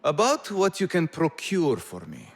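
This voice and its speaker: Casting: proud theatrical rebel for game cutscenes, slightly high voice, grandiloquent and wry, swinging intonation. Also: male voice, wildly swooping pitch, a little slow, creaky, husky, full character voice. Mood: grand, pleased. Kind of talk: video game cutscene dialogue.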